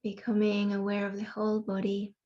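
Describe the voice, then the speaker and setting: A woman guiding a yoga nidra meditation in a soothing way, leaving long pauses between phrases. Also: mid-range voice, monotone, dull audio. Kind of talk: guided meditation.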